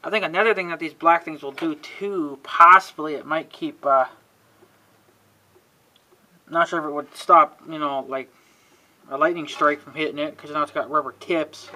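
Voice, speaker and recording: A man's voice: a little high, steady tone, normal speed, long pauses, sharp tone. A man hosting a casual, rambling hands-on demonstration, folksy and half muttering to himself. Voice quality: frequent throat clearing. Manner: folksy, muttering to himself, mild exasperated cussing. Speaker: video host showing an antenna assembly. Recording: clearly noisy homemade recording with clicks.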